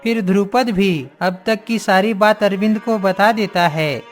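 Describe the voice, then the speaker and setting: A man's voice: sits high, measured pace, faint music behind. A man narrating a moral story in scripted, storytelling fashion.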